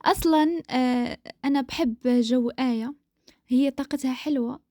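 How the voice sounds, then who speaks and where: A woman from the Maghreb chatting casually as a co-host, opinionated and quick to laugh. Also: sits high, mellow, dry recording. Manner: speaks in a levelled dialect mix, not her own. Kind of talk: casual chat.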